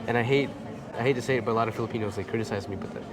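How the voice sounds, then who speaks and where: Low-voiced young American man chatting. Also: racing. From Chicago suburbs, United States. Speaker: interview guest, expat in the Philippines. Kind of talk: casual chat. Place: large bright indoor lounge with tall windows. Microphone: clip-on lapel mic. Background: music in the background.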